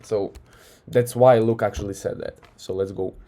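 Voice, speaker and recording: Mellow-voiced man with a Croatian accent. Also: lowish voice, steady tone, normal speed. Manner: loose and conversational, often tags "right?". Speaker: sales coach. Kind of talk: casual breakdown of a recorded sales call.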